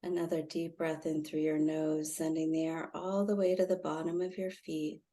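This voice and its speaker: A woman guiding a meditation in a soothing, gently instructive way, leaving long pauses. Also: sits low, little pitch movement. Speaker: meditation guide, intuitive healer. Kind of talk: guided meditation.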